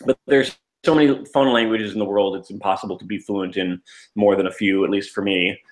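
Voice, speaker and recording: Male co-host, lowish pitch, loose rambling delivery. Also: fairly even intonation, brisk, rough recording. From United States. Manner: rambling, many restarts and fillers. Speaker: podcast co-host. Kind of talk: casual chat.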